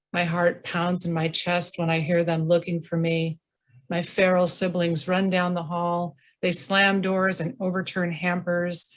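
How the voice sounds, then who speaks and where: A woman reading her own memoir aloud at a virtual reading, slow and deliberate, over a video call. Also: on the low side. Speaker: reader.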